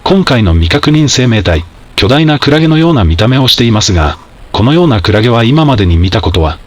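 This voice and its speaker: A man's voice: mid-range voice, sing-song, fairly quick, lightly acted. A man playing a formal TV news anchor in a scripted broadcast drama. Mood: composed, formal.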